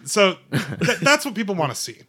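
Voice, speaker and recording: A man's voice: high-pitched, sing-song, normal speed, sharp tone, slightly rough. A man on a podcast panel giving wry, opinionated takes in casual movie talk.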